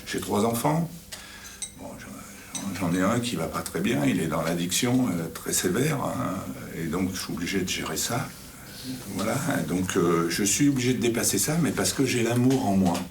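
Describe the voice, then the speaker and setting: A middle-aged man sharing in a group circle, halting and repeating words but self-assured. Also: low voice, animated, gravelly. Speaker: retreat participant. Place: indoor room around a table. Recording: roomy, distant mic, faint noise.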